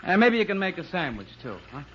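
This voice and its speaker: An actor in a 1950s radio drama playing an evasive, weary young pianist husband, hesitant and defensive. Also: male voice, sits high, sing-song, fast talker, background noise. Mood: placating, evasive. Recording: dull, lo-fi old radio recording.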